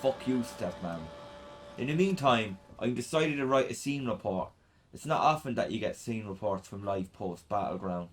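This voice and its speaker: A man's voice: mid-range voice, a bit bright, close to an everyday voice. An Irish man playing a sardonic, slangy teenage punk boy reading out his zine in a radio play. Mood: flippant, bitter. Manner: irreverent, slangy, sweary.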